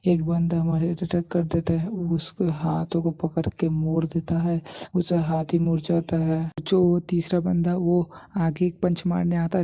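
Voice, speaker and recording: A woman recaps a story in a low, gravelly voice. Her intonation stays flat as she moves through narration and the characters' lines, telling it all as a storyteller. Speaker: anime and manhwa recap narrator. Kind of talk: storytelling recap narration, voicing dialogue in own voice.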